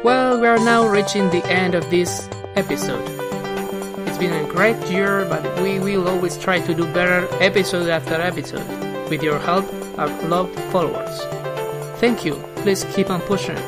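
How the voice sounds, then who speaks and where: Hesitant podcast host over music. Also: male voice, sits high, moderate pace. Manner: hesitant, many fillers and restarts. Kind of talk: interview questions and show outro.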